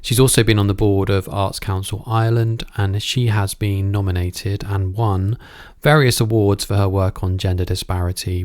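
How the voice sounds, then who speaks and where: A man with a fairly deep voice presents and interviews for a podcast. He speaks informally, feeling his way through phrases, and the sound is dry with little room around it. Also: a little mellow, dry recording. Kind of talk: conversational podcast intro and interviewing.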